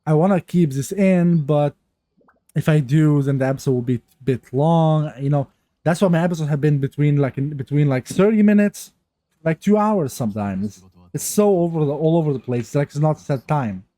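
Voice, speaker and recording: A man with a stuffy, cold-clogged nose talks casually over a game, looping back and restarting his sentences as he goes. His voice is mellow and dark, and the recording is dry and close on a new mic. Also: on the high side, moderate pace, dry recording. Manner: rambling, many restarts and fillers. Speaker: gaming youtuber. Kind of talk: casual gameplay commentary. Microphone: new mic, untuned.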